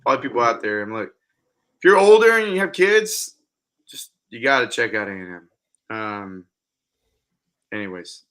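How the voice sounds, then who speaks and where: An American man is a guest in a casual podcast chat. His voice is bright and forceful with an edge to it, and his talk is loose and informal. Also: mid-range voice, expressive, moderate pace, long pauses.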